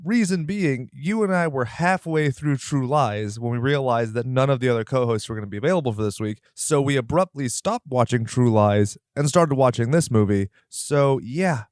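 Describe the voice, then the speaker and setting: A man's voice: mid-range voice, normal speed, few pauses, a little mellow, clear, resonant tone, dry recording, studio quality. A man hosting a podcast, chatting casually about movies in a joking, playfully theatrical manner. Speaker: podcast host. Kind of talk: casual movie review chat.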